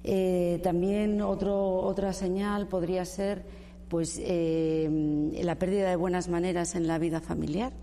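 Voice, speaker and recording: A woman speaking as a panel guest, explaining in a measured way, with many fillers and tag questions. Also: lowish voice, steady tone, dark tone. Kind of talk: discussion panel. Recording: reverberant room with faint noise.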